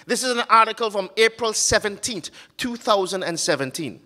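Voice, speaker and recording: A man giving an academic conference talk with slides, earnest and halting, often pausing on fillers and restarting his sentences. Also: sits high, lively intonation, sharp tone, long reverb tail. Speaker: conference speaker. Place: conference hall.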